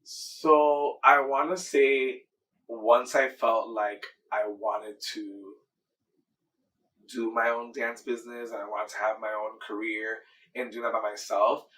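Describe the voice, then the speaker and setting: A man's voice: lowish voice, flat delivery, slow, fairly bright tone, recorded from a distance, low-quality recording. A man in his thirties from Miami, a dancer and choreographer, answering interview questions in an earnest, enthusiastic way and often repeating himself. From Miami, Florida, USA. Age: 30s.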